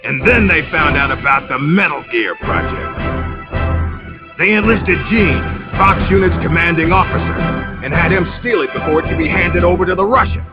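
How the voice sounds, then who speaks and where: An actor playing a scheming, bitter military officer in a video game cutscene, talking in a condescending, theatrical, taunting way. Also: male voice, fairly high pitch, expressive, normal speed, natural voice. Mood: smug explaining. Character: smug, scheming traitorous male military officer, bitter and mocking. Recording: muffled game audio under loud music.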